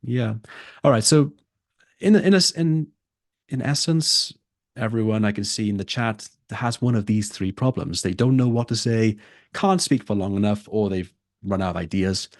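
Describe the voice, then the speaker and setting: A man's voice: on the low side, normal speed, darkish tone, no room sound, clean studio sound. A man who tutors for a test, teaching a live online lesson and repeating his key points for emphasis.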